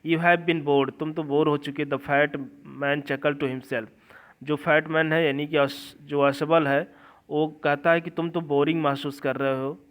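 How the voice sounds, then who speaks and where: A man with an Indian accent teaching English literature, reading slowly phrase by phrase and explaining it in a repetitive way. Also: a little high, flat delivery, a bit bright.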